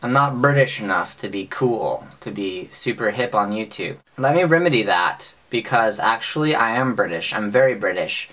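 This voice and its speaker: A young man with an American accent talking casually to camera in a deadpan, mock-serious, sweary comic monologue, recorded in a small bedroom. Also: fairly low pitch, moderate pace, hardly any pauses. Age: young adult. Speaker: vlogger. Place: small bedroom with bunk bed, indoors.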